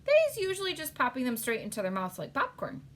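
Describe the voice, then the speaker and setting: A woman's voice: high voice, big pitch swings, quick pace, a bit bright, pitched above the performer's own voice, full character voice. A woman voicing a big, good-natured male storybook giant who talks in quaint mangled grammar. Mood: musing.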